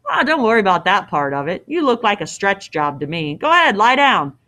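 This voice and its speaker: A man's voice: high voice, sing-song, a little fast, pushed and bright, full character voice. A woman voicing a big, brutish male innkeeper who is blunt, bossy and smugly menacing in a storybook. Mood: falsely reassuring. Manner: blunt, bossy, matter-of-fact.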